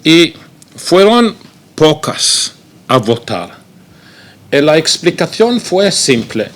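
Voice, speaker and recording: A man with an Italian accent, speaking Spanish as a second language, gives an academic seminar talk in a halting way, with frequent pauses and fillers. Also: on the high side, big pitch swings, fairly bright tone. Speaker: guest speaker.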